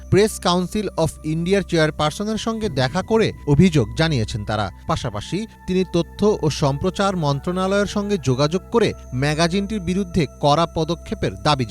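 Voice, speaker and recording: A man with a Bangladeshi accent reading a scripted news report as a voice-over. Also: high voice.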